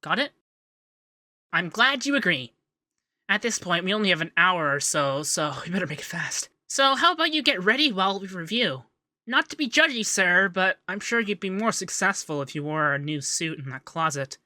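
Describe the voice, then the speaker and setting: A man playing a young, fussy, devoted femboy secretary in a scripted ASMR roleplay, chatty and expressive. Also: high-pitched, normal speed, no echo, studio quality, full character voice. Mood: teasing, efficient. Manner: chatty, expressive, bashful asides. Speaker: voice actor in roleplay audio.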